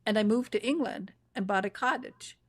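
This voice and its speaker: A woman's voice: mid-range voice, moderate pace. A woman host, living in Texas, chatting and reminiscing effusively while she interviews an author. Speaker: show host. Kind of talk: casual interview chat. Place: home in Texas. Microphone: video call.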